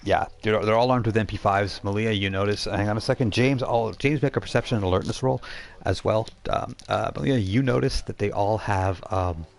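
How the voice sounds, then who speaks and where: A man's voice: fairly low pitch, fairly quick, gravelly. A man narrating a tabletop game casually as game master, improvising with chatty asides.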